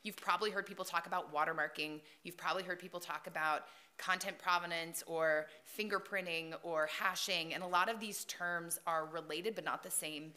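A woman in her forties moderates a conference panel from a table mic, upbeat and conversational. Her voice is a little low but bright and forceful, carrying across the hall. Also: fairly even intonation, a little fast, few pauses. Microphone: table microphone.

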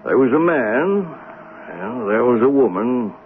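An actor playing a folksy, observant train conductor in a 1970s radio mystery, musing and plain-spoken. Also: male voice, medium pitch, lively intonation, unhurried, close to an everyday voice. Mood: reflective. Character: folksy, observant commuter-train conductor telling a murder tale. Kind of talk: 1970s radio drama acting, narration and dialogue. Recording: noisy phone-like old radio broadcast.

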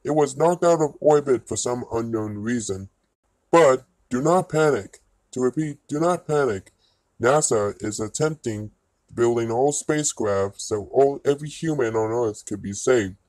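A man's stiff, synthetic, measured voice reading a formal scripted emergency alert announcement. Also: medium pitch, darkish tone, dry recording, low-quality recording.

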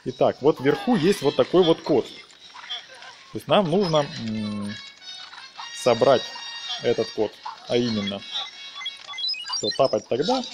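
A man's voice: medium pitch, expressive, takes it slowly, long pauses. Chatty man over game music. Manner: playful, chatty, talks to the game characters. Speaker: gaming video host. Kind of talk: casual game walkthrough commentary.

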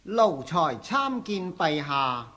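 An actor with a Hong Kong Cantonese accent playing an anxious, obsequious palace eunuch in a radio drama, hesitant and pleading. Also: male voice, high voice, sing-song, takes it slowly, sharp tone, exaggerated voice. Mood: deferential.

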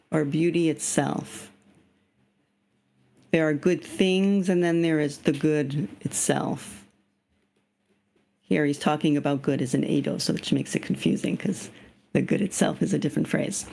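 A woman's voice: lowish voice, creaky, dark tone. Slightly scratchy woman leading a video-call reading group. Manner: explains patiently, asks the group questions. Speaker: study group leader. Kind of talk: leading an online reading discussion.

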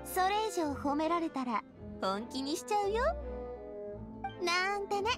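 アニメ調ゲームで、穏やかで茶目っ気のある若い女性リーダーを演じる女性。くだけた女性らしい口調で真摯に話す。. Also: female voice, very high-pitched, expressive, measured pace, music in the background. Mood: modest, teasing. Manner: casual, feminine, softly earnest. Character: bright, resilient young woman leader, gentle and playful. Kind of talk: Japanese anime game voice acting.

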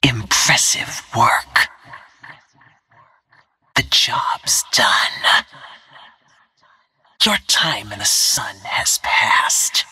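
Video game assassin, female: cold, controlled menace, breathy and husky with a razor-sharp edge, devoted to the kill. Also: a bass voice, lively intonation, drawn-out words, long pauses, dry recording, exaggerated voice. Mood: satisfied, cool. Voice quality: breathy, hissing whisper. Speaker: video game character voice actor. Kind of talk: video game combat voice lines.

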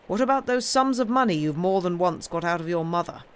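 A man voicing a stern elderly uncle in a storybook reading, gruff and blunt, lecturing a wayward nephew in old-fashioned phrases. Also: high-pitched, lively intonation, fairly quick. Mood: stern, reproachful. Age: older.

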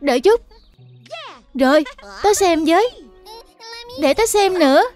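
A woman voicing a friendly, excitable young animal schoolchild in a children's cartoon dub. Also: extremely high pitch, unhurried. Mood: excited, friendly. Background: background music.

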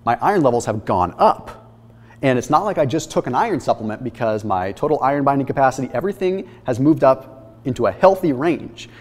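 A man in his thirties, a fitness channel host, explaining his blood test results to camera in an energetic, emphatic way from a kitchen studio set. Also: mid-range voice, long reverb tail. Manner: energetic, explanatory, emphatic, gesturing while holding papers.

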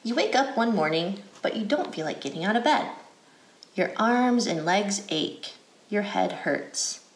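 An American woman reads aloud for children in a guided, teacherly style. Her pitch moves up and down in a lively way while she keeps a slow, deliberate pace. The recording has a roomy indoor echo. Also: slight background noise. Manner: deliberate, clearly phrased, asks questions. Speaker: educator reading a picture book aloud.

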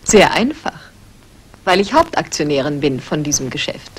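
Cool, low-voiced society lady. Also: very animated, moderate pace. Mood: cool, guarded. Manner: composed, cultivated. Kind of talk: 1960s German radio drama acting. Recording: faintly noisy old radio recording.

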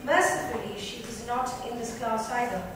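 A woman's voice: fairly high pitch, fairly even intonation, normal speed. A woman reading a novel aloud as dramatic third-person narration. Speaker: reader. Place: club lounge with seated panel, indoors. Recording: echoey room, distant mic, clear noise.

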